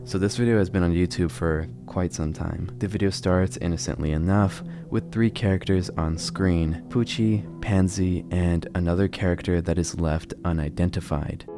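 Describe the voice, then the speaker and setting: A man's voice: deep voice, fairly even intonation, normal speed, few pauses, music in the background. A man hosting a horror video channel, giving casual commentary on clips.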